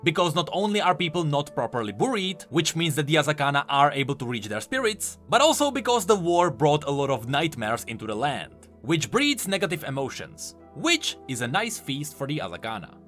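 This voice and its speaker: A man's voice: a little high, sing-song, fairly quick, few pauses, a bit bright, soft background music. A man giving enthusiastic, explanatory commentary on video game lore, leaning hard on key words for emphasis.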